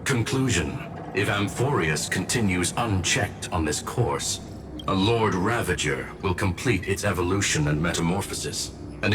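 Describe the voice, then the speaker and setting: An actor playing a refined, courteous robot gentleman in a video game, speaking in a formal, measured and analytical manner. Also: deep voice, noisy background. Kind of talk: scripted video game voice acting.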